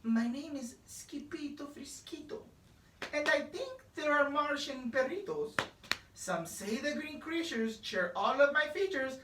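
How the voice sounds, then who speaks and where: Woman as an excitable, swooping little boy kitten. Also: high voice, a little slow, noisy background, voice pushed up. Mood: playful bravado. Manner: playful exclamations mixed with Spanish words. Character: excitable little boy kitten who fancies himself a chihuahua. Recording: roomy, distant microphone.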